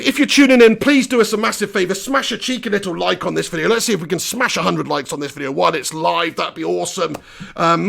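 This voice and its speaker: Hoarse, gravelly football fan ranting. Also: male voice, high-pitched. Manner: animated, exasperated ranting with mild swearing. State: hoarse, losing his voice. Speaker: football fan channel host. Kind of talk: live post-match reaction rant.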